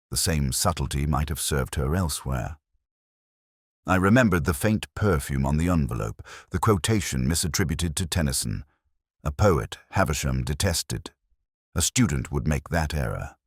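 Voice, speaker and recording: A man playing a weary, erudite police inspector, narrating his case in a measured, melancholy, sardonic way as a literary audio drama. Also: rumbling low, husky, dry recording, clean studio sound, heavily acted.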